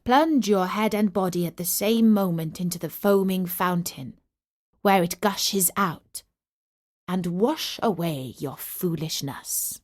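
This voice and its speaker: A woman's voice: lowish voice, takes it slowly. A woman voicing a breezy, generous male god of wine in a declamatory, assured storybook reading. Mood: commanding. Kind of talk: children's storybook character reading.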